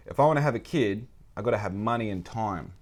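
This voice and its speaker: A man hosting a casual podcast, talking in an animated way, swearing freely and repeating phrases for emphasis. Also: on the low side, quick pace. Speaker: podcast host.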